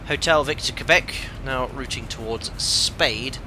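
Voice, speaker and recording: A man's voice: mid-range voice, measured pace. A man hosting a live flight-sim stream, musing aloud with tag questions and fillers. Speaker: live stream host and pilot. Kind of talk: casual live-stream commentary. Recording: music playing behind.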